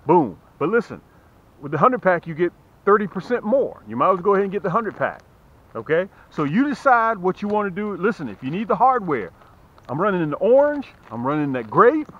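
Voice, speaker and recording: A man hosting a fishing channel, giving a casual, folksy sales pitch to camera and repeating phrases for emphasis. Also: sing-song, brisk, muffled recording. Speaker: fishing channel host and tackle seller.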